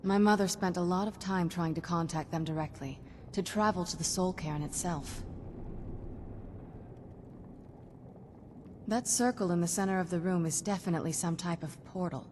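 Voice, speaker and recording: A woman voicing a shrewd, composed young female vampire necromancer in a video game, speaking in a measured, dry, musing way. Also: fairly low pitch, noisy background, natural voice. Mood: intent, explanatory. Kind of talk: video game character dialogue.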